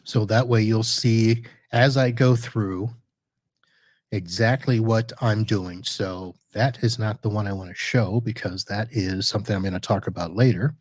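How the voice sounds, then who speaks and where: A man hosting a podcast, casually walking through a software demo live while sharing his screen, chatty and correcting himself as he clicks around. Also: lowish voice, a little slow, no room sound. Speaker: podcast host reviewing network gear.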